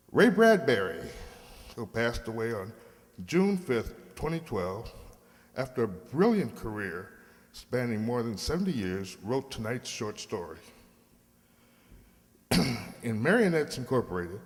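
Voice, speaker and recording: Male program host, medium pitch, formal and measured scripted introductions, recorded with long hall reverb. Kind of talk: scripted introduction to a live radio play.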